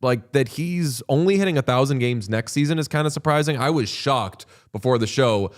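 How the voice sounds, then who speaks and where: A man's voice: medium pitch, expressive, normal speed. A man hosting a podcast, chatting casually and animatedly about hockey, swearing freely. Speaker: podcast host. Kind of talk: casual sports chat.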